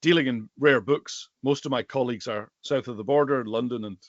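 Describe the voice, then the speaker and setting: A middle-aged man from the Western Isles of Scotland, a native Gaelic speaker with a Highland accent, chatting conversationally as an interview guest. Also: a little fast, no echo, muffled recording. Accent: Scottish Highland. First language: Scottish Gaelic. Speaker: guest, bookseller and Gaelic teacher.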